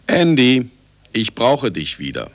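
An actor playing an irascible older scientist who also narrates, theatrical and commanding, in a German radio play. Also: male voice, mid-range voice, huge pitch swings, takes it slowly, no room sound, exaggerated voice. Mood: wry, commanding.

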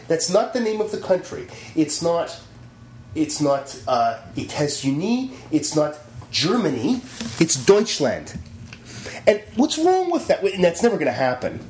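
A man's voice: fairly high pitch, a little mellow. A man in casual chat, ranting with lots of energy and swearing freely. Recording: roomy echo, faint hum.

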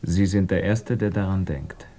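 An actor playing a tormented, bitter émigré racked by guilt, brooding and agitated, in a 1950s German radio play. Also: male voice, deep voice, normal speed, mellow. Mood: bitter despair.

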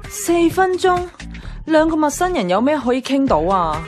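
A woman's voice: high voice, big pitch swings, moderate pace, faint music behind. An actress playing a single young office woman narrating her woes in a radio drama, wry and confiding, with a Hong Kong Cantonese accent. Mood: wry, hopeful. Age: young adult.

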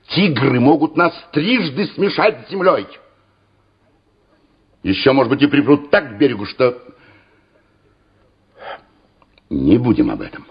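An actor playing a stubborn, gruff Soviet regimental commander, curt and exasperated, in a radio drama. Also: male voice, mid-range voice. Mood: firm, curt. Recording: muffled old radio play recording.

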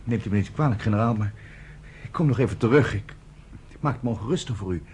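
An actor playing a deferential worried aide. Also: male voice, lowish voice, fast, darkish tone, a little hiss or hum, close to an everyday voice. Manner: deferential, concerned. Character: worried, loyal aide to the general. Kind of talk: 1960s Dutch radio drama.

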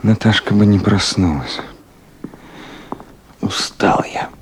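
Radio drama inner monologue: tired night-duty man, low voice, very slow, musing. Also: slight background noise. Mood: weary, musing. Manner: musing to himself in short phrases. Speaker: actor. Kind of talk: 1970s Soviet radio play inner monologue.

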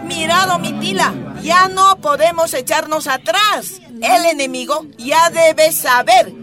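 An actress playing an eager, resolute miners' companion in a radio drama, urging action emphatically with a Bolivian accent. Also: female voice, extremely high pitch, takes it slowly, sharp tone, soft background music, exaggerated voice.

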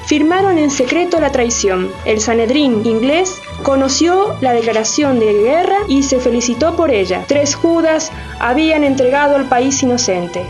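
A female narrator delivers scripted radio drama in a declamatory manner. Her voice is notably high, over faint music. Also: a little mellow.

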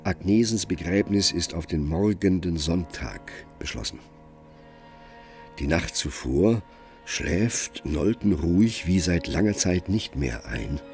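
Grave Gothic storyteller, male, extremely low gravelly voice, slow solemn delivery, radio play, music behind. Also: lively intonation, a little mellow, full character voice. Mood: solemn, suspenseful. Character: grave, brooding narrator of a Gothic literary tale. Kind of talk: dramatic literary narration in a radio play.

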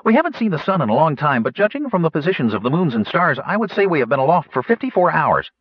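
A man voicing an excitable, know-it-all male gnome scientist who lectures in rapid, precise bursts. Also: a little high, husky, no echo, dull audio, pitched above the performer's own voice. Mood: excited.

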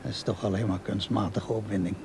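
A man's voice: on the low side, level tone, quick pace, creaky, a little mellow, a little hiss or hum. An actor playing a stubborn, easily offended old grandfather and war veteran in a radio play, muttering and reminiscing. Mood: embarrassed, evasive. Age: older.

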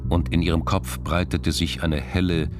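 Very deep-voiced male radio drama narrator. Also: steady tone, normal speed. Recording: music behind the voice. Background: music.

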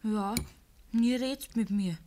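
A woman's voice: on the high side, slow pace, dark tone, slight background noise. Needling young Bavarian farm girl. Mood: probing, curious. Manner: probing, teasing, insistent. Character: young farmer's daughter, jealous, coquettish, needling. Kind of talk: rural dialect radio drama acting.

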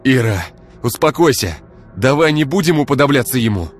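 A man's voice: mid-range voice, lively intonation, normal speed, soft background music, pitched above the performer's own voice, natural voice. A man voicing an injured young man who speaks weakly and groans. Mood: firm. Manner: weak and groaning, then firm.

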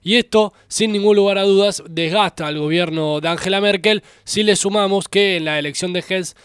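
Dude reading out the news on a talk show, higher voice, crisp and dry. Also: male voice, normal speed, a bit bright, no room sound. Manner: reporting, a bit hesitant. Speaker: radio host. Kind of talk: news presenting chat.

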